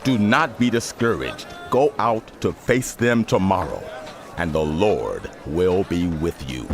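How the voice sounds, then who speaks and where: An actor playing a solemn, assured Levite prophet in a dramatized audio Bible, exhorting with authority. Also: male voice, deep voice, animated, slow pace, over music, heavily acted. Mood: commanding, reassuring.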